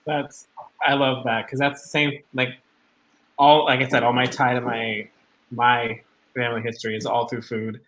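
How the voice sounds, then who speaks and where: A man co-hosts a live stream over a video call, mid-pitched and easygoing. He reacts with eager praise and quick giggles while passing along viewer comments. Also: flat delivery. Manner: enthusiastic, warm reactions, giggly. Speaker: co-host reading viewer comments. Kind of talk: casual live-stream chat.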